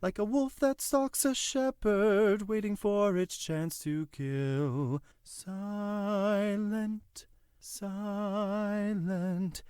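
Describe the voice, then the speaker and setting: An actor playing a pious, guilt-burdened prisoner in an audio drama, speaking in formal, measured phrases. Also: male voice, sits high, a little mellow, clean tone, dry recording, clean studio sound. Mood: mournful.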